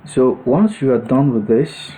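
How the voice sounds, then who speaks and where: A man giving an unscripted step-by-step software demonstration, thinking aloud in a halting manner. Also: medium pitch, animated, a little hiss or hum, poor audio. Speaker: software tutorial instructor.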